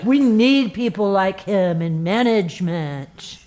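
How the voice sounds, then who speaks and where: A woman playing a smooth, manipulative HR executive in a satirical audio drama, cajoling and emphatic with corporate positivity. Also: medium pitch, expressive, slow pace, dark tone. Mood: persuasive. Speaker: actor.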